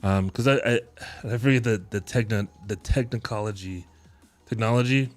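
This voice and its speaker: Relaxed deep-voiced man streaming live. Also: normal speed, soft background music. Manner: relaxed, rambling, chuckles often. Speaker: live-streaming tech deals host. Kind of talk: casual live-stream chat. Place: home streaming room. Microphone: boom mic close to mouth, headphones on.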